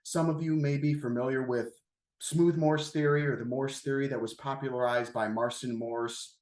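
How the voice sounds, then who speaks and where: A man delivers an academic seminar lecture in a roomy, echoing sound. His voice is a touch raspy from the tail end of a cold. He explains things step by step in informal, approachable wording. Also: medium pitch, fairly even intonation, normal speed, darkish tone. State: getting over a cold, slightly raspy voice. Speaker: guest seminar lecturer, math researcher.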